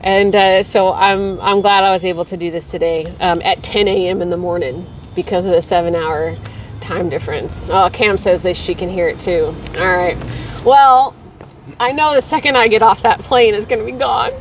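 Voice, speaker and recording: A woman's voice: medium pitch, fairly even intonation, moderate pace, slight background noise. Chatty rambling woman outdoors, distant mic. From Texas. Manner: chatty and rambling, laughs easily. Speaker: host of a weekly Q&A livestream. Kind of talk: casual livestream chat, reading viewer comments.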